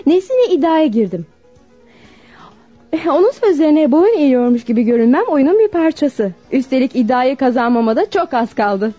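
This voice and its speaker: A muffled radio play recording with faint music. a snobbish, scornful young woman, high and swooping in pitch, with a soft, dark tone. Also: expressive, moderate pace. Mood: mocking, contemptuous. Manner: scornful, mocking. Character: haughty, mocking, cruel young socialite woman. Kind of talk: Turkish radio drama acting.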